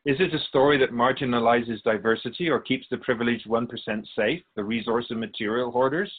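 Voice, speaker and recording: A man playing a wise, wry otherworldly spirit guide in a radio drama interview, measured and philosophical. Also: mid-range voice, narrow, tinny audio, close to an everyday voice. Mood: pointed, wry. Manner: measured, philosophical, gently admonishing.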